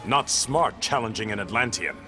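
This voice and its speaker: A man's voice: fairly low pitch, expressive, moderate pace, over loud music, lightly acted. A man playing a proud, stern Atlantean warrior king in a video game, speaking in terse commands. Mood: stern, defiant. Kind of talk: video game dramatic acting.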